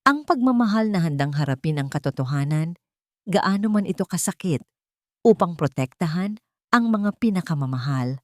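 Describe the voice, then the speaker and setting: Low-voiced woman narrating a sentimental story. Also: dry recording, clean studio sound. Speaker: story narrator and channel host.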